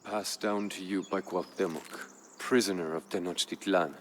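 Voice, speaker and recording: A grave Aztec warrior tells of his people's fall in a low, deep voice. The delivery is measured, proud and dramatic, in the manner of a strategy game storyteller. Music plays clearly behind the studio narration. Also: steady tone. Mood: grave, brooding. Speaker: campaign narrator.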